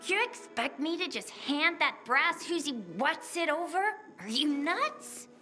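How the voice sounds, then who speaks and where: High, bright, streetwise little girl. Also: animated, unhurried, exaggerated voice. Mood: bargaining. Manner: brash, slangy, mouthy. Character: cheeky, streetwise young girl, about ten, hard bargainer. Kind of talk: video game voice acting. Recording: faint music behind the voice.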